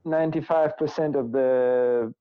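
A man from Kazakhstan with a Russian accent, a podcast guest speaking halting English with many fillers. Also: medium pitch, steady tone, clear, resonant tone, no room sound, phone-quality sound. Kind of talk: casual interview chat.

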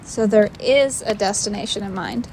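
A woman's voice: medium pitch, normal speed, a crowd in the background, close to an everyday voice. A woman acting in an audio drama as a vulnerable young survivor, hesitant and tentative. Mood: curious. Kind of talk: naturalistic audio drama dialogue.

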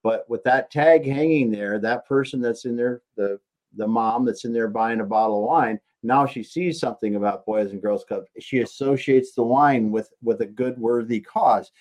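A man's voice: medium pitch, moderate pace, a little mellow, poor audio. A man hosting a conversational interview, restating and affirming what his guest has said. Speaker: interview host.

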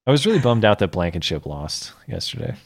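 Low-voiced chatty male host. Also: gravelly. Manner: relaxed, chatty, quick asides. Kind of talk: casual call-in show hosting.

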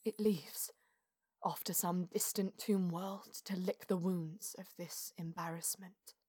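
A woman's voice: mid-range voice, dark tone, slightly rough. Proud elven woman narrator over music, acted. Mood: solemn. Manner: lofty, poetic, dramatic. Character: proud, quick-witted elven alien woman, artisan seer. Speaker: first-person narrator and lead character. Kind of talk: scripted audio drama narration and acting. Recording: music behind the voice.

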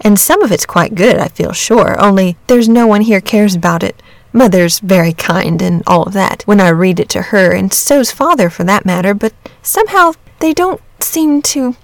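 A woman's voice: mid-range voice, moderate pace, no echo, lightly acted. A woman voicing a practical, frank young boy in a storybook, earnest and blunt but polite. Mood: curious, frank. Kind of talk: storybook character reading.

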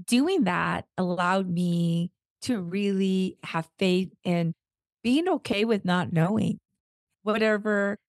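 A hoarse woman hosting her podcast, her voice gravelly and rough from a cold. She talks in a gentle, unhurried, encouraging way, and the sound is close and dry with no room around it. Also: medium pitch, no room sound. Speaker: podcast host. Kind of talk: reflective podcast talk.

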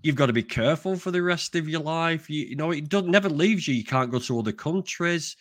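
A man's voice: fairly high pitch, expressive, brisk, no room sound. Northern English man in his fifties. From North of England. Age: 50s. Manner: chatty, dialect grammar. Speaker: actor and activist guest. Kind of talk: casual chat.

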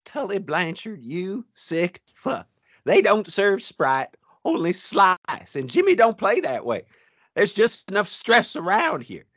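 A man playing an irate, foul-mouthed loudmouth wrestling manager ranting in a comedic audio play. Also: high voice, sing-song, slow pace, dry recording, exaggerated voice. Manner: ranting, profane, indignant. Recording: muffled, music underneath.

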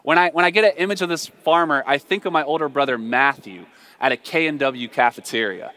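An American man preaching a conversational, humorous sermon full of storytelling, emphatic and animated, repeating phrases for effect. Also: on the high side, a little fast. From southern United States. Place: large reverberant room, live before a congregation. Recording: reverberant hall.

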